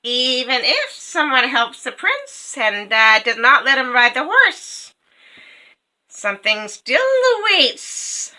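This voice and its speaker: A woman voicing a cruel, gleeful raven who cackles out a dark prophecy in a loud, high voice. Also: big pitch swings, takes it slowly, full character voice, low-quality recording. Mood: shrill gloating.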